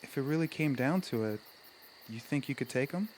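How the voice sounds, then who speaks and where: A man playing a brash but loyal small-town teenage football jock in an audio drama, blunt, slangy and halting. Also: medium pitch, animated, darkish tone, a little hiss or hum, natural voice. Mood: casual. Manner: blunt, slangy, halting over big words. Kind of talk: naturalistic audio drama acting. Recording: faint outdoor night ambience with crickets.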